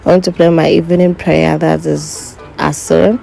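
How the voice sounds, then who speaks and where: Young woman narrating her cooking, gravelly and low. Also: little pitch movement, mellow, faint music behind. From Nigeria. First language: not English. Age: young adult. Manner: unhurried, self-correcting, instructive. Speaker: cooking vlogger.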